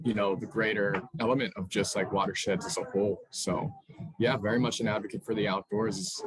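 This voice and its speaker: A man's voice: on the low side, poor audio. A young man giving a casual self-introduction in an online meeting. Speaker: student and organization staff member introducing himself.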